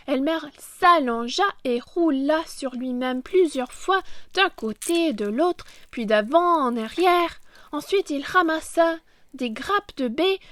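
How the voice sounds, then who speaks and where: Slow, high-voiced woman reading a children's story. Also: no room sound, studio quality. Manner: slow, deliberate, theatrical storytelling with emphasis on key words. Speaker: children's story reader, French teacher. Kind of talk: expressive read-aloud of a children's picture book.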